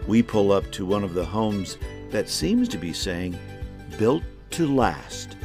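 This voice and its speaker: A man's voice: fairly low pitch, sing-song, slow. A man narrating a scripted documentary in a folksy, conversational storytelling way. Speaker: narrator and host. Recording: music behind narration.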